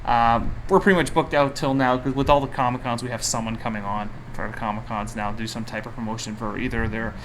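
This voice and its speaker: A man's voice: mid-range voice, fairly even intonation, quick pace, few pauses. A man hosting an informal podcast interview in a casual, chatty way, with some mild swearing. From Canada. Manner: informal, mildly profane. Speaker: podcast host. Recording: faint background noise.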